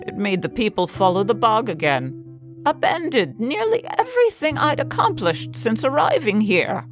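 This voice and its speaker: Woman acting a stern, expressive prioress over music. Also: a little high, moderate pace. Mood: grave, convinced. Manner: firm, grave, forthright. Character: hard, devout middle-aged prioress, stern and weary. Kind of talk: dramatized audiobook dialogue.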